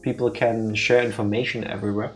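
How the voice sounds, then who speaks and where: A man's voice: lowish voice, level tone, fast talker. Man chatting over music. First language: Dutch. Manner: rambling, self-correcting, teasing. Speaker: let's play co-host. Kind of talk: casual chat over gameplay. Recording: faint music behind.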